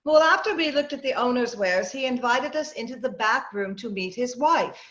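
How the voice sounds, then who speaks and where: Muffled and roomy, a woman acting as a high-pitched middle-aged suburban wife in an old-time radio play. She is bossy and credulous, telling her story in an insistent, chatty way. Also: animated, moderate pace, a bit bright. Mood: confiding, chatty. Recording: muffled, roomy video-call audio.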